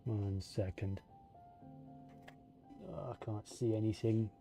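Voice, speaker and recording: A man's voice: fairly low pitch, fairly even intonation, slow, gravelly, mellow. A man giving casual live gameplay commentary, muttering and thinking aloud as he goes. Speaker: game streamer. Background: faint game music.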